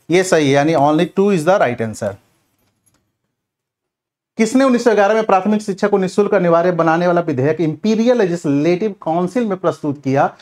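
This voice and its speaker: A man teaching an exam revision class in question-and-answer form, addressing students directly with repeated check-in tags. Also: a little high. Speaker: history teacher for competitive exams.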